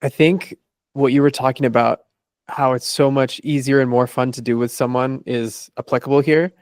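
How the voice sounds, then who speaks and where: A man's voice: mid-range voice, fairly even intonation, normal speed, darkish tone, no room sound. A man, a life coach guest on a podcast interview, methodically laying out his process in numbered steps.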